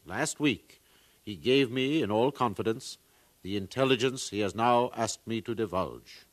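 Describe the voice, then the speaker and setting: A man's voice: lowish voice, measured pace, dry recording, lightly acted. A man playing an earnest far-future museum scholar, lecturing formally in a 1950s radio drama.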